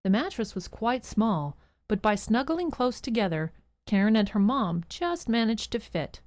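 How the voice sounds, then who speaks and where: A woman's voice: fairly high pitch, animated, normal speed, darkish tone, no room sound. A woman narrator reading a scripted holiday story for a radio drama in a storytelling style. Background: music.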